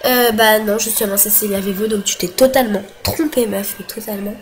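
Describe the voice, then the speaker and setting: A woman's voice: mid-range voice, steady tone, brisk, a little hiss or hum. A young woman venting to camera about an online dispute, agitated and full of fillers and restarts, with a cold. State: has a cold. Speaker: vlogger.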